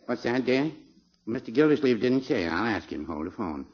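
An actor playing a placid, literal-minded old druggist, folksy and hesitant, in 1950s radio comedy style. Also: male voice, medium pitch, very animated, moderate pace, lightly acted. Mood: unhurried. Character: mild, unhurried, dithering old small-town druggist. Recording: muffled, noisy old radio recording.

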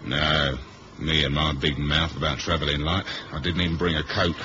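An actor playing a wry, wary spy hero who narrates a 1970s radio drama in a dry, understated way. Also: male voice, extremely low pitch, level tone, moderate pace. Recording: dull, hissy old radio recording.

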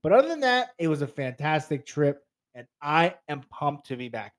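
Male podcast host, thirties, from New Jersey, congested and a touch high-voiced, chatty solo monologue to listeners. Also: sing-song, normal speed. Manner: chatty and upbeat, talks straight to listeners. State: stuffed up, congested. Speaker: fantasy football podcast host.

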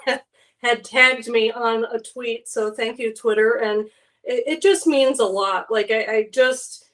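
A woman's voice: a little high, poor audio. A woman clinical psychologist answering interview questions earnestly, often repeating words as she starts a sentence.

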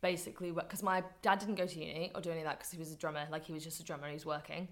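Woman, twenties, Scouse accent, rapid chatty delivery, lower voice, filler-heavy. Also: little pitch movement, a bit bright. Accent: Liverpool (Scouse). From Liverpool, England. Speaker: podcast guest, campaigner. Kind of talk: casual conversational interview.

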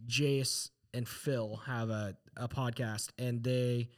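A man co-hosting a podcast in casual chat, telling stories in an animated way and quoting people as he goes. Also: mid-range voice, slow. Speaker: podcast co-host.

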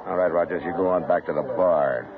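An actor playing a stern, calm frontier marshal in an old radio western drama, firm and steady. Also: male voice, sits low, brisk, music in the background. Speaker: lawman. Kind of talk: 1950s radio drama acting. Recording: tinny old radio broadcast.

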